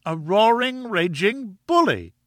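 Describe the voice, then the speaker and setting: A man putting on a shrill, furious little wife. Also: big pitch swings, slow, a bit bright, no room sound, clean studio sound, full character voice. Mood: furious, scolding. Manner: indignant, exclaiming. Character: small furious scolding wife, shrill and wailing. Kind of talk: audiobook character acting.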